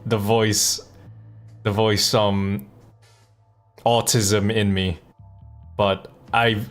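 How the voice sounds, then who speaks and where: Low-pitched man rambling casually on a game stream. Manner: rambling, thinking aloud. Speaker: live streamer. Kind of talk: casual chat while playing a game.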